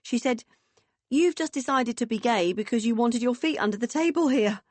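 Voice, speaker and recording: A woman voicing a hearty, jolly middle-aged woman in an audiobook, with a booming, brisk manner. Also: animated, darkish tone, no room sound. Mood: indignant, amused. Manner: booming, brisk, jolly posh turns of phrase.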